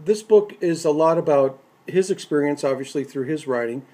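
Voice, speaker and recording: A man hosting a radio program, introducing a guest and asking measured questions. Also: fairly high pitch, expressive, mellow.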